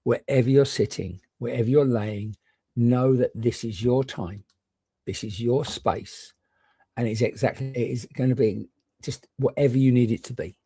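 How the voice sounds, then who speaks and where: A man gently and reassuringly guiding a meditation, leaving unhurried pauses between short phrases. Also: medium pitch, slightly rough, dry recording. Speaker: meditation guide. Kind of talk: guided meditation.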